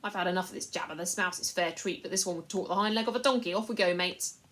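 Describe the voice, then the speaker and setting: A woman's voice: lowish voice, fast, a bit bright, close to an everyday voice. A woman voicing a greedy, coarse middle-aged male slave trader who talks in a wheedling way.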